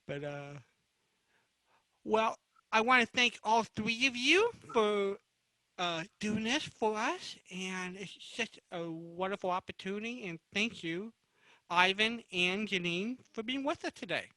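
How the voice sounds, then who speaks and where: A man with a notably high voice and a forceful, bright tone hosts a casual panel interview. His questions come out halting and roundabout. The sound is close and dry. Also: expressive, dry recording, rough recording. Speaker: moderator, interviewer.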